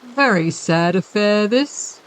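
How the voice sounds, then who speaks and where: A woman's voice: medium pitch, animated, slow, a little hiss or hum, poor audio. Woman putting on a nasal, courtly Ugly Wuggly. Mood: polite. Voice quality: nasal, as if from a heavy cold. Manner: odd R's, formal and courteous. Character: respectable male Ugly Wuggly, polite paper man come alive.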